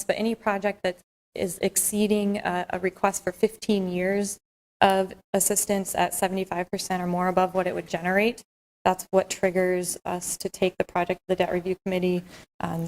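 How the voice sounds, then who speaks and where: A soft-spoken woman on city staff, giving a measured, explanatory presentation at a council meeting. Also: on the low side. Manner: measured, explanatory, with fillers and restarts.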